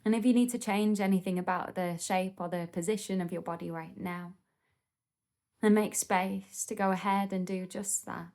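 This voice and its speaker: A woman leading a guided meditation in a gentle, soothing, unhurried manner with pauses between phrases. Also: mid-range voice, fairly even intonation.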